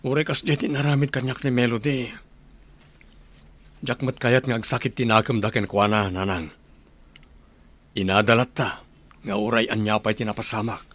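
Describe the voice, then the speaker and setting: A man playing an earnest, gentle father in an Ilocano audio drama, speaking with an Ilocano accent. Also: mid-range voice, steady tone, moderate pace, long pauses, natural voice. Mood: earnest, apologetic. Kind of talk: radio drama acting.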